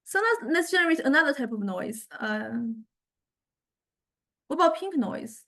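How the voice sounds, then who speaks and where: A woman lecturer giving an informal software demonstration, explaining conversationally and often hesitating as she searches for words. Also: sits high, animated, unhurried. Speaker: university music technology lecturer.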